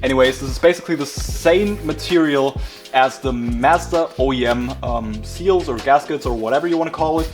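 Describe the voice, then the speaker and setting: A man's voice: medium pitch, normal speed, soft background music. Chatty German-accented man vlogging. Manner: chatty, laughs at himself. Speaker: car build vlogger. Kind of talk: casual vlog narration. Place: car workshop.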